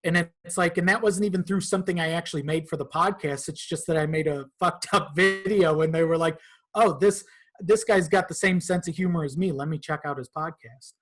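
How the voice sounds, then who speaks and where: A man's voice: on the high side, a little fast, fairly bright tone. A man speaking informally as a podcast guest, in a casual conversation peppered with swearing. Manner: informal, profane.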